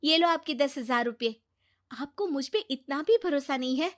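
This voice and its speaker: A woman's voice: high-pitched, normal speed, close to an everyday voice. A woman voicing an earnest, caring young daughter-in-law in a cartoon story, speaking emotionally. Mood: hurt. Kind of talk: Hindi cartoon storytelling dub.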